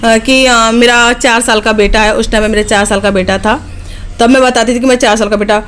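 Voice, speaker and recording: A woman's voice: on the high side, fairly even intonation, brisk, fairly bright tone, a little hiss or hum. Chatty woman vlogger, late twenties. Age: late 20s. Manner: chatty, direct, frequent "okay" check-ins. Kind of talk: casual chat to viewers.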